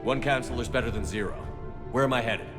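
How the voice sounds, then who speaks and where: A man's voice: medium pitch, fast, over music, natural voice. An actor voicing a decisive male military commander who speaks in a curt, commanding way in a game cutscene. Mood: determined.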